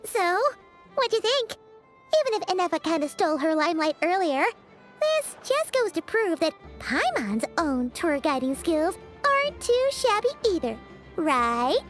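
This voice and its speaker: A woman voicing a small, eager, childlike fairy guide with a squeaky, perky voice in a video game. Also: very animated, unhurried, exaggerated voice. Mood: proud. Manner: perky, refers to herself in third person. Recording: music behind the voice.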